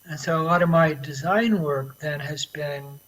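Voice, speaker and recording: Measured American architect, higher voice. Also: male voice, fairly bright tone, rough recording. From Florida, USA. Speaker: architect and academic. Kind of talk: online video interview.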